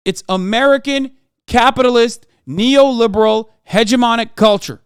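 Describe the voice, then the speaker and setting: A man's voice: extremely high pitch, moderate pace, clean tone, no echo, studio quality. A man from Turkey, in his thirties, giving heated, profane reaction commentary as a streamer, lecturing and emphatic.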